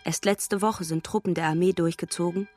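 Actress as an earnest, low-voiced nun. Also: female voice, steady tone, a little fast, darkish tone, faint music behind, lightly acted. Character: earnest, compassionate nun writing from a mission. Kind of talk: radio drama letter reading.

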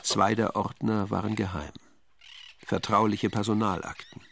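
A man narrating a scripted radio drama as a storyteller, with a gravelly voice. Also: fairly low pitch, level tone, a little slow, a bit bright, slight background noise.

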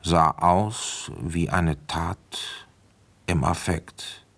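Low-voiced, matter-of-fact police commissioner. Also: male voice, fairly even intonation, extremely slow, creaky, fairly bright tone, full character voice. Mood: sombre, reflective. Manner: authoritative, matter-of-fact, clipped reflective phrases. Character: male police commissioner, weary, brisk, narrating his case. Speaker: detective and first-person narrator. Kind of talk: radio play acting with narration.

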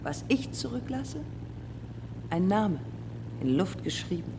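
A woman's voice: mid-range voice, big pitch swings, slow, noisy background. An actress playing an intense, challenging woman aviator and sculptor in a radio drama, with terse questions and poetic phrases.

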